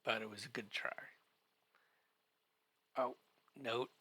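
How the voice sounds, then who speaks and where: A man giving a comedic podcast recap in a halting, drawn-out way, full of wry joking asides. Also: mid-range voice, steady tone, creaky, pushed and bright, slightly rough, no echo.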